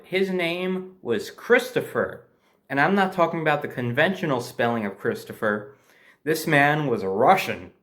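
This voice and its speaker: Male storyteller, mid-pitched voice, casual and unscripted, hesitant, backtracks to correct himself. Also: a bit bright.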